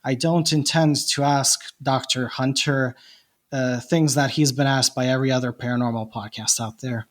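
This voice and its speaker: A man's voice: mid-range voice, steady tone, moderate pace. A man from Bosnia with a Bosnian accent, a non-native English speaker, talking reflectively in a casual podcast chat with frequent restarts. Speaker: podcast host, guest.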